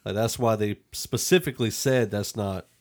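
Low-pitched man hosting a casual film chat. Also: normal speed. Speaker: podcast host.

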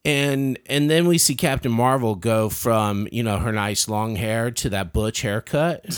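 A man discussing a film casually on a podcast panel, halting and argumentative, repeating words for emphasis. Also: mid-range voice, smooth.

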